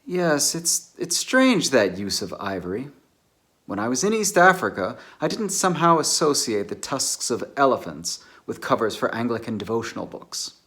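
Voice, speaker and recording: A man's voice: medium pitch, big pitch swings, moderate pace, a bit bright. A man playing a reserved, wistful, bookish bachelor in a dramatized novel reading, with a formal, musing and slightly hesitant delivery. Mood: musing, polite.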